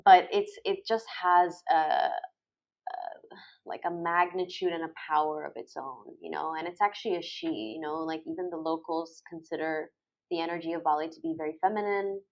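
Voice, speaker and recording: A woman's voice: low-pitched, fairly even intonation, moderate pace. A young woman from Egypt and Thailand telling her story informally as a guest, often tossing in "like" and "you know.". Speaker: podcast guest, storyteller and photographer. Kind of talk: casual conversational storytelling.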